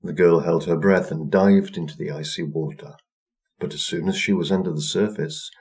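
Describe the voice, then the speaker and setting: Male storyteller, low register, reading a children's folktale. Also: a little mellow. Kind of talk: scripted folktale narration for children.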